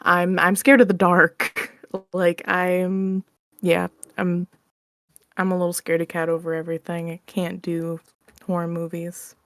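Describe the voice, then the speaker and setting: A woman's voice: fairly low pitch, level tone, unhurried, a bit bright, no room sound. A woman chatting casually as an interview guest on an online call, candid and self-deprecating in how she talks. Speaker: interview guest, audio roleplay creator. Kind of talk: casual chat.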